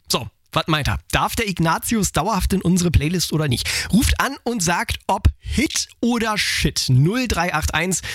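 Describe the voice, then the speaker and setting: A man hosting a radio call-in show, chatting cheerfully and quickly with callers. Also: high-pitched, a bit bright, no echo, clean studio sound. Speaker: radio host. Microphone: studio microphone.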